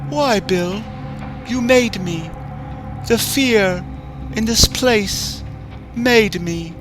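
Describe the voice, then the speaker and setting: A man voicing a sadistic, playful female clown who eats her victims, speaking in a soft, teasing, sing-song way over background music. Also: high-pitched, drawn-out words, pitched above the performer's own voice. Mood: sadistic glee. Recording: music bed under voice.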